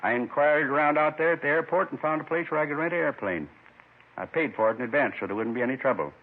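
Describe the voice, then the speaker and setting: Wistful old man, husky, muffled radio. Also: a little high, fast talker. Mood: earnest. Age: 70s. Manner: wistful, folksy, rambling in short clipped phrases. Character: lonely, gentle 72-year-old man confessing a robbery. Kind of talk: 1950s radio drama acting. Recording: muffled 1950s radio recording.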